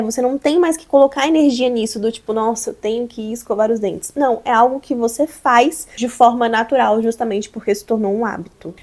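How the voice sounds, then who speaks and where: A young woman with a Brazilian accent talks her viewers through advice in a relaxed, chatty vlog. Her voice sits a little high, and a cold has left it hoarse and slightly stuffy. She explains each point patiently, speaking straight to her audience. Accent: Brazilian Portuguese. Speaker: vlogger.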